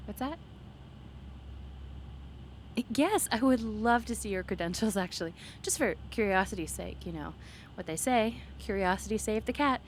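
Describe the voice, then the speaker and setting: A woman playing an excitable, playful young woman on a date, in a conversational, halting roleplay style with teasing, gushing delivery. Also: mid-range voice, slight background noise, close to an everyday voice. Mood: curious, teasing. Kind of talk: ASMR roleplay acting, one side of a dialogue.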